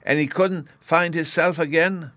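Man as an earnest little storybook boy, high-pitched. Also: fairly even intonation, a little slow, fairly bright tone, no echo. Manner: childish speech with babyish grammar. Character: little boy, earnest, plaintive and easily upset.

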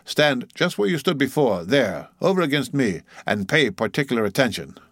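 A man's voice: medium pitch, big pitch swings, normal speed, a bit bright, no room sound, clean studio sound, pitched above the performer's own voice. A man voicing a bossy ship's officer in an audiobook sea story, commanding and teasing with mock solemnity.

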